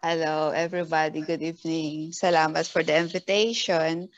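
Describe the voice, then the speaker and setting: A Filipino woman from Benguet speaking casually in an online panel talk, unhurried and searching for her words. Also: low voice, flat delivery, muffled recording. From Philippines, Benguet. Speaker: community member guest.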